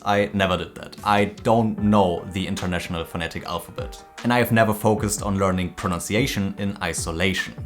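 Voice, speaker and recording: Lively German man hosting a video. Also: on the low side. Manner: lively, animated explaining. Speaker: language learning host. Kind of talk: scripted video essay.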